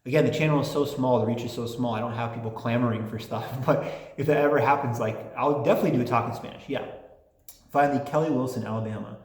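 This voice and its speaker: A man's voice: medium pitch, quick pace. Rambling chatty man in an echoey room. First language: English and Polish. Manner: rambling, chatty, many restarts and fillers. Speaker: channel host answering fan mail. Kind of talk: casual chat, reading listener letters.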